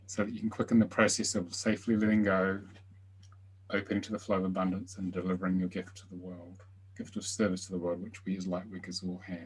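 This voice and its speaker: A man hosting a live online healing webinar. He speaks softly and invites the attendees to share. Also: deep voice, level tone, quick pace, slightly rough, low-quality recording. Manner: soft-spoken, invites sharing. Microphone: video call.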